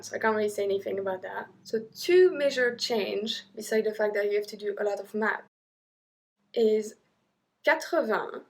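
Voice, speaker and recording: A young woman with a French accent, teaching an online language lesson, drilling number words slowly with chatty asides. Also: medium pitch. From French-speaking Europe.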